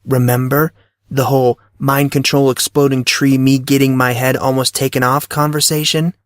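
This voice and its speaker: A man's voice: mid-range voice, flat delivery, normal speed, no echo, studio quality, lightly acted. A man voicing a puzzled, skeptical fourteen-year-old schoolboy, questioning and sarcastic, in an audio drama. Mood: pressing. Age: teenager. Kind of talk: audio drama character reading.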